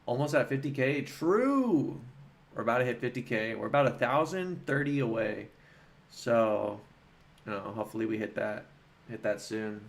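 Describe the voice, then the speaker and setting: A man hosting a casual livestream, talking informally and haltingly as he thinks aloud and answers chat. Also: medium pitch, lively intonation, gravelly.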